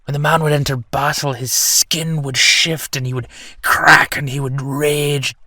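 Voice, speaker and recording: A man's voice: fairly high pitch, steady tone, measured pace, background noise, close to an everyday voice. A man playing a whimsical, boastful ancient salmon in human form, chattily telling old myths in an audio roleplay. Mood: friendly, inviting. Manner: chatty, colloquial, playfully indignant. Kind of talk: audio roleplay storytelling addressed to the listener.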